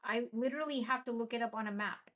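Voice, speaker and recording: A young woman from Venezuela hosting a live stream, chatting excitedly and answering viewers' comments. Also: fairly high pitch, quick pace. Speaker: livestream host.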